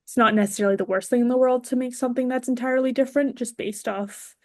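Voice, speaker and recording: A woman's voice: a little high, fairly even intonation, quick pace, no room sound. Woman guesting on a podcast, dry sound. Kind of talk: casual chat.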